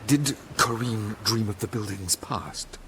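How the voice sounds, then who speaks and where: An actor playing a fussy, wealthy banker in a video game, formal and pompous. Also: male voice, on the low side, slow, heavy background noise. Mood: anxious, resigned. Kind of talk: video game character acting.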